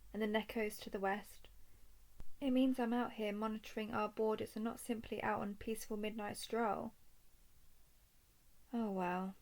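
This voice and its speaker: A woman playing a watchful, confident dragon-clan scout in a scripted roleplay audio drama, with measured, expressive delivery. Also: on the high side, lightly acted. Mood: calm, watchful. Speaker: voice performer.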